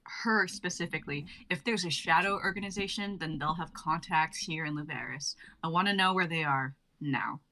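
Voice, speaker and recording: A woman's voice: low-pitched, moderate pace, hardly any pauses, bright, forceful tone. A woman playing a bossy, teasing investigator in a casual, commanding manner during a dramatic script reading. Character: bossy, teasing young investigator urging a colleague to relax.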